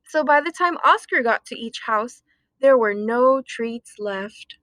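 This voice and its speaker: A woman reading a children's story aloud expressively, with deliberate dramatic emphasis. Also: on the high side, lively intonation, fairly bright tone, dry recording. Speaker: storytime reader.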